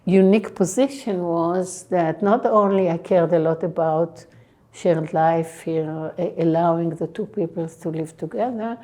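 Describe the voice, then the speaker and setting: A woman in her sixties speaks with an Israeli accent, low and mellow in tone, taking her time. She answers interview questions haltingly, pausing often and filling gaps with hesitant sounds. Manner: slow, halting, many pauses and fillers. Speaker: guest, tech entrepreneur.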